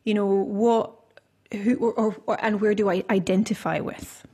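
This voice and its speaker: A woman's voice: mid-range voice, steady tone, moderate pace, dark tone, husky. A woman from Scotland hosting a podcast, talking in a chatty, rambling way with plenty of fillers and restarts. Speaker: podcast host. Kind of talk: casual podcast conversation.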